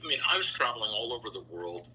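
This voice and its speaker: Bright-voiced middle-aged man on a call. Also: lowish voice, fast, a little hiss or hum, low-quality recording. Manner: relaxed, rambling, with many fillers and restarts. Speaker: interview guest, founder of a choral institute. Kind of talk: casual storytelling interview. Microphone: video call.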